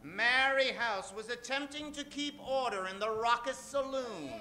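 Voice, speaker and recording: A man's voice: extremely high pitch, unhurried. A man hosting and narrating in old-time radio style, a hammy, tongue-in-cheek showman. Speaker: radio show host and narrator. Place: stage before a studio audience.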